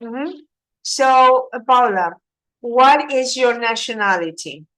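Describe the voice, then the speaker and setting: A woman's voice: on the high side, expressive, slow pace, fairly bright tone, rough recording. A woman teaching English, patiently prompting and correcting her student. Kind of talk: online English lesson.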